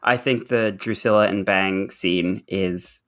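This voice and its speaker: Man chatting casually in halting asides. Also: deep voice. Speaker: podcast co-host. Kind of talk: casual chat.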